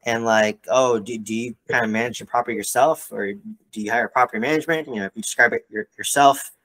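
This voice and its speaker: A man's voice: mid-range voice, steady tone, fast, a bit bright. A man working as a mentor, talking casually in a one-on-one coaching call and often checking in with "right?". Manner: conversational, peppers talk with "like" and "right?". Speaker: real estate mentor and coach.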